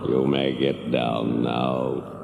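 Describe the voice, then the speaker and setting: An ancient, kindly tree giant in a fantasy audio drama. The voice is gravelly and ponderous, humming between drawn-out words. It rings in a long hall-like reverb over heavy noise. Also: male voice, little pitch movement, exaggerated voice. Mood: unhurried, friendly. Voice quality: very deep, creaky, gravelly. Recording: noisy, echoing hall-like reverb.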